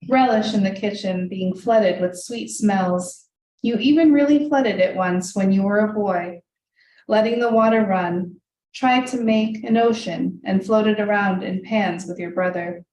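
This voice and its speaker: A woman's voice: mid-range voice, a little mellow. Woman poet reading in an echoey hall. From United States, Hungarian family. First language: Hungarian. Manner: measured, deliberate reading; asides loose with many ums. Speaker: poet reading her work. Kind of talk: live poetry reading with spoken asides. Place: reading room of a library, indoors. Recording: echoey room, voice from far off, faint hiss.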